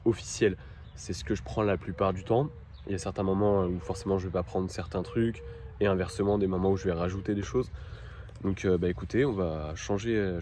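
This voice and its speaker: A man with a deep, low voice hosts a casual presentation to camera. He speaks informally and haltingly, hesitating as he explains things. Some ambient noise sits behind his voice. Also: darkish tone. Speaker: video host presenting everyday-carry gear.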